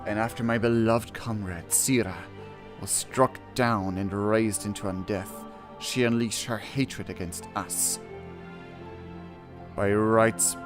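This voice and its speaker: Slow, low-voiced man streaming a game. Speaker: streamer reacting to game cutscenes. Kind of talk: reading quest text aloud and reacting casually. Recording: music behind the voice. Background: game music.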